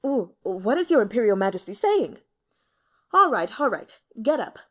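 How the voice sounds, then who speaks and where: A woman's voice: fairly high pitch, very animated, moderate pace, fairly bright tone. A woman voicing a young male emperor who is irritable and flippant, with a bored, scornful, imperious manner. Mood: mocking, annoyed.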